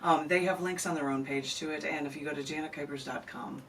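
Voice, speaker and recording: A woman's voice: low voice, fairly even intonation, fast. Woman poet rambling and laughing in a radio interview, mic kinda far off, light hiss. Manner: chatty and rambling, laughs often. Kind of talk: casual radio interview chat. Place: radio studio. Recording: distant mic, faint noise.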